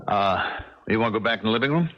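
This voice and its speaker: A man's voice: fairly low pitch, animated, fast talker, a little hiss or hum, close to an everyday voice. An American actor playing a grumbling, self-assured suburban man with a dry manner in a 1970s radio drama. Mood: casual. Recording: dull vintage radio recording with music.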